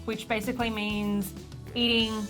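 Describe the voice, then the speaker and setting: A woman's voice: on the high side, flat delivery, unhurried, music in the background, rough recording. An upbeat woman chatting about family holidays. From Australia, Croatian family. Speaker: participant in a food swap. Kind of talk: casual chat.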